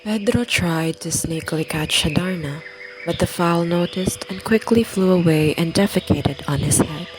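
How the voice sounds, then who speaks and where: A woman's voice: fairly low pitch, moderate pace, noisy background. A woman giving scripted story narration for a radio play.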